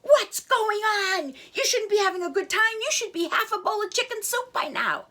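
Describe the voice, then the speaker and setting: Brief: a sly, gruff male chef in a storybook, low and slow, voiced by a woman. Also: big pitch swings, fairly bright tone, exaggerated voice. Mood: furious.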